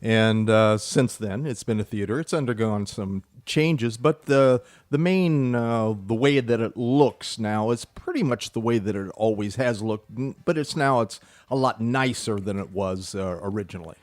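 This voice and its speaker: A man in his seventies, a podcast guest explaining things casually and agreeably, with halting phrases. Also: lowish voice, dry recording. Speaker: local actor, podcast guest. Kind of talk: casual explanatory interview talk. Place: indoors at a small theatre.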